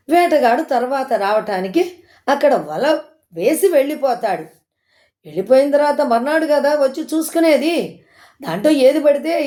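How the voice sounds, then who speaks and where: A woman's voice: high-pitched, mellow. A woman telling a moral story informally, in an explanatory, conversational way that repeats points for listeners.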